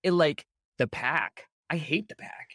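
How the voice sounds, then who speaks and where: A man co-hosting a podcast in casual chat, excitable and full of fillers, his voice jumping up when he gets outraged. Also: on the high side, unhurried, no echo, studio quality. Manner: many fillers and restarts. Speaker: podcast co-host. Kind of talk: casual chat about a TV episode.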